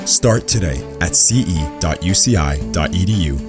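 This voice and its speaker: A man announcing a scripted ad in a measured, persuasive way. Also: deep voice, animated. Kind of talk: scripted radio ad. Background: music.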